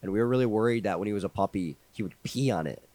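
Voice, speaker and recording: Hoarse young man, low voice. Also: mellow. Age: 20s. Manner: quick, easygoing, joking. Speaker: podcast co-host. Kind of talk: casual chat.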